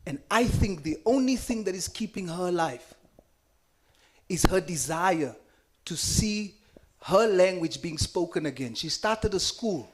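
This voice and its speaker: An earnest South African man, Afrikaans his first language, addresses a committee with conviction. His voice sits a little high for a man, and he leans on repeated phrases to drive points home. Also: lively intonation, unhurried. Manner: earnest, impassioned, emphatic repetitions. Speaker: member speaking in a committee hearing. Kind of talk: formal spoken address.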